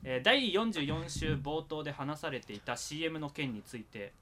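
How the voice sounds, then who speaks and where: A man's voice: fairly high pitch, expressive, normal speed, pushed and bright. A man hosting a podcast in casual, jokey, loosely improvised banter, sometimes reading out listener mail. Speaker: podcast host.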